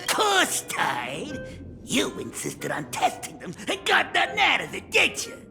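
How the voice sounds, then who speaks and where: Wildly swooping, boisterous gang boss. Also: female voice, high-pitched, wildly swooping pitch, unhurried, gravelly, music in the background, exaggerated voice. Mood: amused scolding. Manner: loud, swaggering. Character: boisterous female gang boss, playful and commanding. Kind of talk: video game voice acting.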